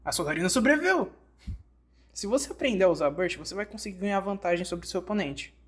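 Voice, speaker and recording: Brazilian accent, tutorial narrator, mid pitch, instructive, scripted delivery, direct address. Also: expressive, fast talker, fairly bright tone, slightly rough. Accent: Brazilian Portuguese. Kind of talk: scripted card game tutorial narration, fan dub.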